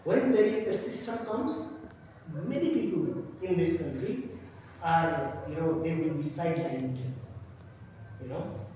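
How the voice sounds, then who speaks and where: Nepali-accented male teacher, high-pitched, hesitant, recorded far off in an echoey room with faint hiss. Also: expressive, measured pace, pauses often, mellow. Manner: hesitant, many fillers and restarts. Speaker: English teacher, guest. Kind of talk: casual podcast discussion.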